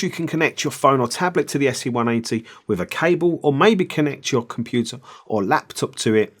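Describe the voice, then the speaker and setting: A middle-aged man presents a gear review. His voice sits at a medium pitch. He explains things in a measured, conversational way and adds his own opinions as he goes. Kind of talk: product review to camera.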